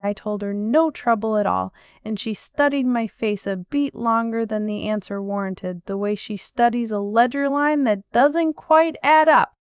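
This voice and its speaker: A woman narrating a first-person story in a measured, reflective way. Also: on the high side, smooth, no room sound.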